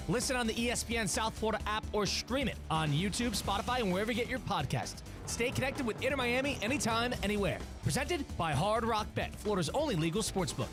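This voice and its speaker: A man's voice: sits high, lively intonation, fast talker, hardly any pauses, over music. A man reading a scripted radio station promo as an announcer.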